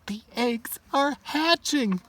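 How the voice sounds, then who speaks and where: Man putting on a light, slow, cheerful storybook pig. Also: very high-pitched, lively intonation, pushed and bright, pitched above the performer's own voice, heavily acted. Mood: gleeful. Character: cheerful, matter-of-fact storybook pig friend breaking news.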